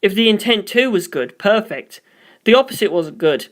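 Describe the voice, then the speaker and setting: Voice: mid-range voice, expressive, normal speed. A reader delivering scripted first-person story narration, as for an audiobook.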